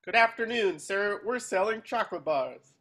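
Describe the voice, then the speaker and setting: A man's voice: high-pitched, lively intonation, normal speed, pushed and bright, heavily acted. A man voicing an earnest, eager, boyish cartoon sea sponge in a table read, coaxing as he talks.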